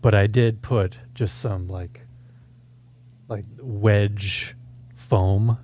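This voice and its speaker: A man's voice: on the low side, fairly even intonation, very slow, warm tone, a little hiss or hum. A man co-hosting a show, asking questions and chatting casually in an interview.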